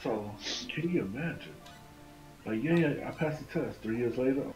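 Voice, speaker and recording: A man in a casual voice chat, speaking haltingly and repeating phrases for emphasis. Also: mid-range voice, expressive, darkish tone, low-quality recording. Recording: music clearly behind voice.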